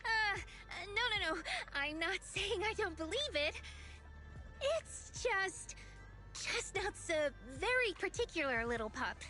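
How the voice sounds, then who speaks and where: A woman voicing a cute, gentle young dog lover in an anime video game, musing hesitantly. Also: very high voice, lively intonation, measured pace, soft background music, heavily acted. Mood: shy, earnest.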